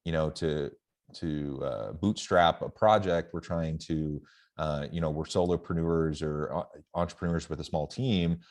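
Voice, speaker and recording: A man with a very low voice hosts a relaxed interview. He talks conversationally and agreeably, thinking aloud in a somewhat halting, reflective manner. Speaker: podcast host, university professor.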